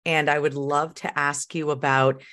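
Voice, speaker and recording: Low-voiced woman hosting a podcast. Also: flat delivery, normal speed, a bit bright. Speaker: podcast host, interviewer. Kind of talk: conversational corporate podcast interview.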